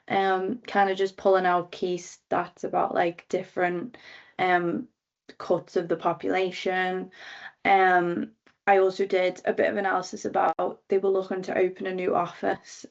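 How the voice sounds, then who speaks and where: Scouse young woman, gravelly flat talk. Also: mid-range voice, muffled recording. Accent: Liverpool. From Liverpool, England. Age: 20s. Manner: chatty, many ums and likes. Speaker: careers talk speaker, government analyst. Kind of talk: casual career talk.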